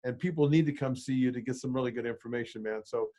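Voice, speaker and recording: A man's voice: mid-range voice, fast talker, warm tone. A man in his sixties hosting a podcast interview, blunt and rambling, swearing freely in casual chat. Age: 60s. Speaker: podcast host.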